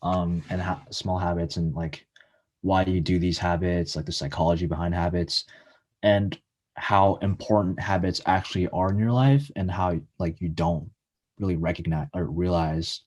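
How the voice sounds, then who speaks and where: Deep, husky young man chatting casually. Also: fairly even intonation, darkish tone. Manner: halting, filler-heavy, earnest. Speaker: podcast guest, college student. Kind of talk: casual interview chat.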